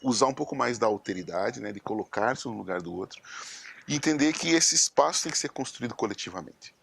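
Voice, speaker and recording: A man's voice: mid-range voice, lively intonation, a little fast, gravelly. A man speaking earnestly and measuredly in an interview, in the manner of an academic. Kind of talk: reflective interview commentary. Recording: noisy background with clicks. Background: noise and clicks.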